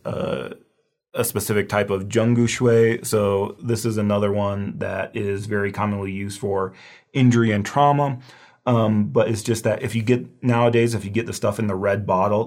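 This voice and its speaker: A man's voice: on the low side, darkish tone. A man with a scratchy voice that is giving out, teaching a review lesson in a loose, rambling way with lots of fillers. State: losing his voice, scratchy. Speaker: herbal medicine instructor. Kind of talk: casual lecture review.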